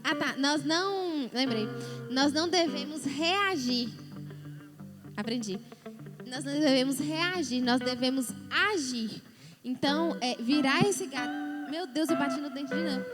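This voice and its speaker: A chatty woman talks on a church panel and sometimes stops to find her thread. Her voice is high. Music plays softly behind her. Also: a little slow. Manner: chatty, loses her train of thought. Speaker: panelist at church youth service. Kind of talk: conversational church panel talk. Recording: music playing underneath.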